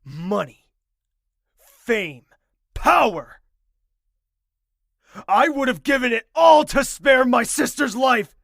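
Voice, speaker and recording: A man's voice: extremely high pitch, animated, takes it slowly, creaky, long pauses, fairly bright tone, no room sound, studio quality, heavily acted. A man playing a grieving, bitter young prince in an audio drama, brooding and intense. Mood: anguished rage. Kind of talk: audio drama acting with narration. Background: music.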